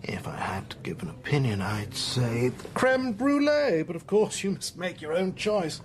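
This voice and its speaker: An actor playing a condescending British intelligence chief in a radio drama, urbane, clipped and patronising. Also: male voice, a little high, very animated, moderate pace. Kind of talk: broadcast radio drama acting.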